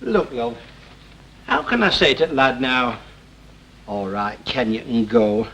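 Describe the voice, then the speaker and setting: A man's voice: medium pitch, expressive, measured pace, a bit bright. An actor playing a put-upon, middle-aged working-class father who grumbles in a northern English accent, in 1960s television soap style. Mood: aggrieved. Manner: grumbling, aggrieved. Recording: old noisy 1960s television soundtrack.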